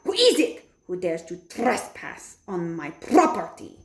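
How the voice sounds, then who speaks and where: Woman putting on a Grand High Witch. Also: sing-song, reverberant. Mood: imperious. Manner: snapping, commanding, drawn-out. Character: evil, imperious female witch leader.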